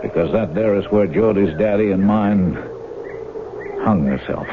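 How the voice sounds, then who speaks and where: An actor playing a menacing, obsessive older farm brother in a 1970s radio drama, folksy, insistent and brooding. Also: male voice, lowish voice, unhurried, noisy background, lightly acted. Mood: grim, insistent. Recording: muffled 1970s radio broadcast, music behind.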